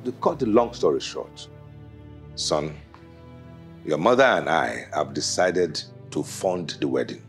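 An actor with a Nigerian accent playing a stern, mature father who speaks with deliberate authority in a screen drama. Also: extremely low pitch, expressive, soft background music, heavily acted. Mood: firm, wise. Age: middle-aged or older. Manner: deliberate, commanding.

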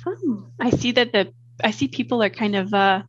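A woman in her thirties co-hosting a bilingual webinar over a video call, upbeat and quick to laugh, moving between English and French. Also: mid-range voice, slightly rough, dry recording. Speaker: webinar co-host. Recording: dull, dry video call audio.

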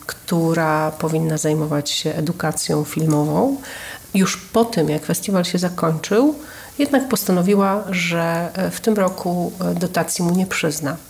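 Low gravelly-voiced woman podcast host. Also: darkish tone, slight background noise. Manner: earnest, with many hesitations. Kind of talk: casual conversation about culture.

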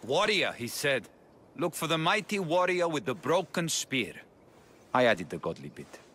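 An actor playing a sly, smirking, cowardly informant in a video game, with a taunting, theatrical manner. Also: male voice, a little high, sing-song, normal speed, a bit bright, a little hiss or hum. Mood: sly, mocking.

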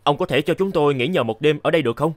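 A man playing a young travelling scholar in a folk-tale cartoon, expressive, with old-fashioned courteous speech. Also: a little high, very fast, no echo, close to an everyday voice. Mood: polite request. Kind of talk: animated folk-tale dubbing.

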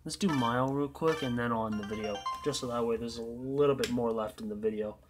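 Hoarse, tired young man narrating gameplay. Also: medium pitch, fast, music in the background. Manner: tired, rambling, trailing off. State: hoarse, losing his voice. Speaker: gaming channel host. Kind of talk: casual game commentary.

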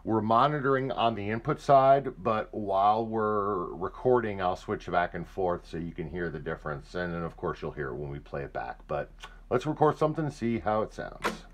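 Low-voiced man giving a casual demo. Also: expressive, moderate pace. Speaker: demonstrator of audio gear. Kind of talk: casual hands-on product demonstration. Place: indoor room.

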